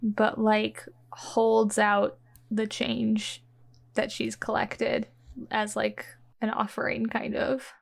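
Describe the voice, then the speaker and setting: A woman's voice: on the high side, completely flat delivery, slow, vocal fry. A woman playing a tabletop game, chatting in an easygoing, casual way. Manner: easygoing, chatty, laughs often. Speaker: player.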